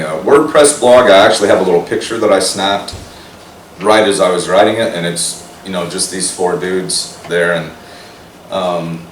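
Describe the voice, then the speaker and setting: A man with a very low, raspy voice, hoarse from singing, talks in a relaxed and rambling way. He is picked up from a distance in a roomy space with a faint hiss of noise behind him. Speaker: guest, singer and poet.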